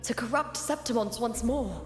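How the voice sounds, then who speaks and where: A woman's voice: fairly high pitch, natural voice. Solemn warrior heroine in long reverb, acted by a woman. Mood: resolute. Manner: measured, earnest, formal. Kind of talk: scripted video game voice acting. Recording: long reverb, game music behind.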